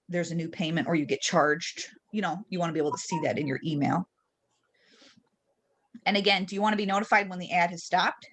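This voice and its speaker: Lower-pitched woman leading a software tutorial. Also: a little hiss or hum. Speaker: trainer leading a software webinar. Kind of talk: informal screen-share tutorial. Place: online webinar.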